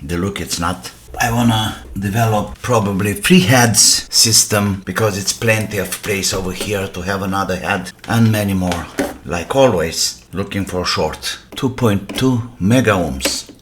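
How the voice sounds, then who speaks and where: A man, an English speaker, thinking aloud in a casual, halting way as he hosts a hands-on repair vlog, muttering asides to himself. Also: fairly low pitch, a bit bright. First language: not English. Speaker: host of an electronics repair channel. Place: indoor workbench. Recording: noisy workbench with clicks and clatter.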